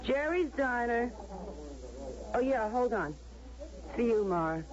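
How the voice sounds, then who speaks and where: An actress with an American accent playing a brisk, bossy but helpful diner waitress, blunt and slangy, in radio drama style. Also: female voice, fairly high pitch, expressive. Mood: harried, warning. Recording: muffled radio broadcast with crowd behind.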